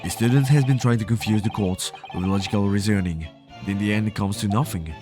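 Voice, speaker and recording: A man voicing a haughty male courtroom prosecutor, reading the lines scornfully in a low voice, with music behind. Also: quick pace, lightly acted.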